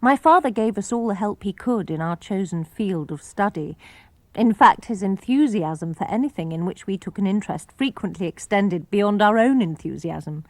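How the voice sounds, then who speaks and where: A woman with a British accent reading letters and memoir for radio in a gentle, reflective way. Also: mid-range voice, no room sound.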